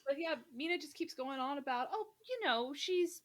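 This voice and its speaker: A woman's voice: high-pitched, animated, normal speed. A woman co-hosting a podcast, chatting casually as a fan, with theatrical mock indignation and playful sarcasm. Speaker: podcast co-host. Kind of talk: casual fan chat about a classic novel.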